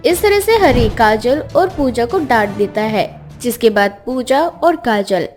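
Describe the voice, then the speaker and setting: High-pitched woman narrating a fairy tale. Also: lively intonation, measured pace, a little mellow. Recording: music behind the voice.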